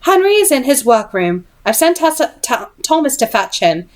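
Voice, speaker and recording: A woman voicing a composed lady of the house in a storybook, measured in manner. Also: high voice, very animated.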